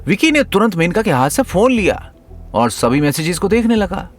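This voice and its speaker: A man's voice: sits high, big pitch swings, moderate pace, soft background music. A man narrating a comedy story as a storyteller, in a dramatic scripted style.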